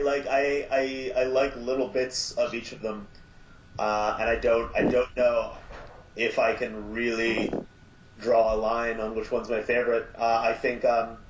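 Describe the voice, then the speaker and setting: A man talking in a casual podcast chat, thoughtful and measured as he picks his words. He sits in a roomy space, and the recording sounds muffled and dull. A faint hiss runs underneath. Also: mid-range voice. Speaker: podcast guest.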